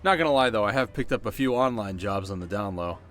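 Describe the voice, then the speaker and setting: A man's voice: lowish voice, animated, fast talker, fairly bright tone. Man playing a wry teenage bad boy over music. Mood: cocky, teasing. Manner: casual, wry, sarcastic, sometimes flustered. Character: cocky, teasing delinquent teenage boy from rough side of town. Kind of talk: ASMR audio roleplay, one-sided dialogue to listener.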